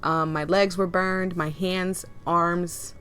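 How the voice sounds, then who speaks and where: A woman with a cold and a hoarse, fading voice, reading a visual novel aloud with chatty asides. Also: on the low side, little pitch movement, slow pace, fairly bright tone. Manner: chatty, laughs and coughs often, rambling asides. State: hoarse, losing her voice with a cold. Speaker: game reader and streamer.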